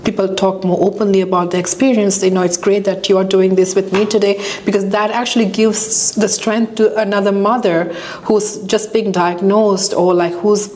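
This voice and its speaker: A woman's voice: medium pitch, flat delivery, normal speed. Sri Lankan-born woman hosting an interview. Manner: warm encouraging questions, many fillers. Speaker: talk show host. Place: Canada.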